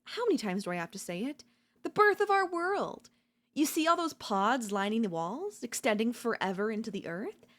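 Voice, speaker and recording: A woman playing an obsessive, domineering yandere in a dark ASMR roleplay, seductive and smug, slow and teasing, slipping into whispers. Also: on the high side, big pitch swings. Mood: gloating, excited. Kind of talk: dark ASMR audio roleplay, intimate dramatic acting.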